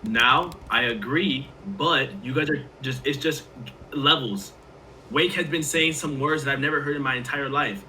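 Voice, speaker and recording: Young man, around twenty, joking, self-deprecating, stammering, very bright tone. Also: mid-range voice, normal speed, a little hiss or hum, rough recording. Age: young adult. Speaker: podcast guest. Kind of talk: casual chat.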